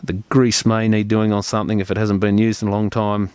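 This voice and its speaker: Low-voiced man giving a practical repair demonstration. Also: flat delivery, fairly quick, a little mellow, clear, resonant tone, dry recording. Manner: plain-spoken, practical, step-by-step explaining. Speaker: repair technician presenting a tutorial. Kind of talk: unscripted hands-on repair demonstration.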